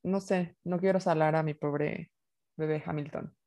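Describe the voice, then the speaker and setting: A woman's voice: deep voice, fairly even intonation, unhurried, warm tone. A young woman, co-hosting a podcast in casual chat with playful, wry asides. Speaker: podcast co-host. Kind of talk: casual chat about racing.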